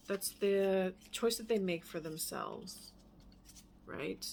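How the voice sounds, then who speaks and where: A woman giving a casual, rambling tarot reading in a candid, musing manner, sometimes trailing off into hushed asides. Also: mid-range voice, creaky. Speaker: tarot reader.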